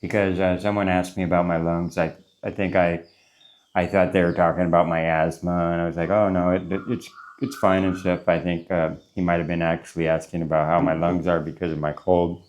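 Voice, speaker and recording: Congested, deep-voiced man rambling on a vlog. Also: little pitch movement, fairly quick, darkish tone. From United States. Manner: rambling, full of fillers and restarts. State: congested from a cold. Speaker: travel vlogger. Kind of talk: casual morning vlog to camera. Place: small courtyard room with a concrete wall, indoors. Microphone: clip-on lapel mic.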